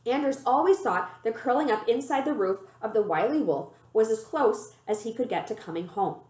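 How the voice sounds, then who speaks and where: Animated woman reading aloud, echoey room. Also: a little high, normal speed. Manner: animated storytelling. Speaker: librarian reading a book aloud. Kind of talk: expressive read-aloud narration of a children's novel. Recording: roomy, echoey room sound.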